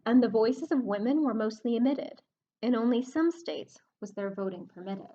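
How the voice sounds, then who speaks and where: A woman librarian reading a picture book aloud to children, expressive and explanatory, pausing to speak to her young listeners. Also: fairly high pitch, highs cut off, slight background noise. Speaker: children's librarian hosting story time.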